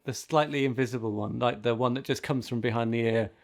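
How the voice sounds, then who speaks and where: A man's voice: medium pitch, fairly even intonation, quick pace, vocal fry. A man chatting casually as a podcast host on a remote call. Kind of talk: casual chat. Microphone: remote video call setup with headphones.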